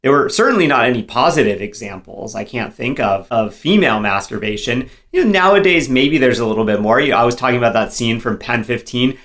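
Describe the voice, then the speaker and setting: Man co-host, 30s, chatty and candid, casual podcast talk, mid pitch. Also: animated, fairly quick, hardly any pauses, a bit bright. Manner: chatty, self-deprecating, frequent "like".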